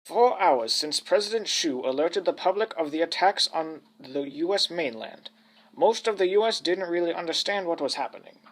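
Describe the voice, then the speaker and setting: A man's voice: fairly high pitch, expressive, moderate pace, poor audio. A young man playing a self-important, chatty narrator in a comedy, telling a mock-epic story in a smug, flippant way. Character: self-important, chatty narrator who follows the hero around.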